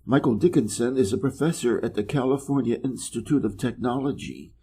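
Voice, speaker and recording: A man from Wisconsin with an American Midwest accent, reading an article slowly and carefully for English learners. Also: mid-range voice, warm tone, slight background noise. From Wisconsin, USA. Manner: measured and deliberate, clearly pronounced. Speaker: reader of an English learning channel. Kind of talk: slow, careful reading aloud.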